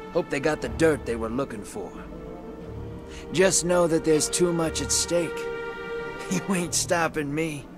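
An actor playing a sly, grizzled male gunslinger and smuggler in a video game, talking in a drawling, sardonic, teasing way. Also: fairly high pitch. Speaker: game character. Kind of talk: video game cutscene dialogue. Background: game music.

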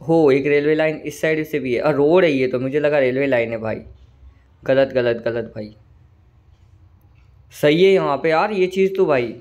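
A man with a slightly hoarse throat giving casual, chatty commentary over a train simulator game, often calling viewers "brother". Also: fairly high pitch, moderate pace, pauses often, darkish tone, dull audio. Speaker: gaming channel host.

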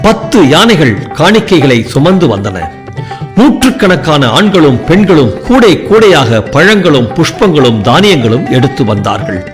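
Male narrator, dramatic first-person storytelling, animated, with clear music under. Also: fairly high pitch, normal speed.

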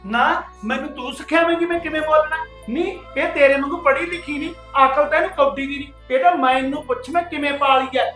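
A woman's voice: high-pitched, a little fast, fairly bright tone, echoey room, soft background music. A woman playing a bossy, scolding aunt who bluntly orders her crying niece about in a family skit. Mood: stern.

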